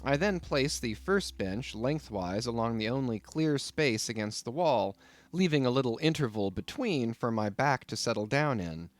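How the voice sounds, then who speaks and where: A man reading literary fiction as an expressive audiobook narrator. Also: mid-range voice, moderate pace, no echo.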